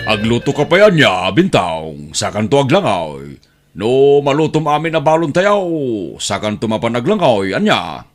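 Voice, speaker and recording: A man's voice: mid-range voice, big pitch swings, moderate pace, soft background music, close to an everyday voice. A man playing an easygoing but bossy middle-aged uncle in an Ilocano audio drama, with an Ilocano accent.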